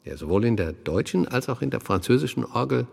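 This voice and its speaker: An actor playing a polite, eager radio interviewer from Westphalia in a radio play, courteous and conversational. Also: male voice, mid-range voice, expressive, brisk, gravelly, a little mellow. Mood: courteous, probing.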